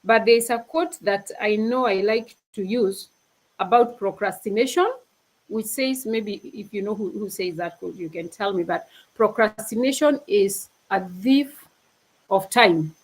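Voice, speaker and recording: A woman's voice: medium pitch, measured pace, rough recording. A young woman from Kenya giving an earnest, casual motivational talk as a panel speaker on a video call. She often restarts her sentences and laughs easily.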